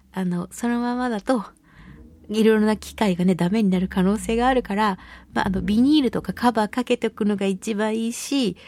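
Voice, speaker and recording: A woman hosting a radio talk show on her own, chatting casually and telling stories in an animated, giggly, colloquial way. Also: medium pitch, no room sound. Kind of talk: casual solo radio chat.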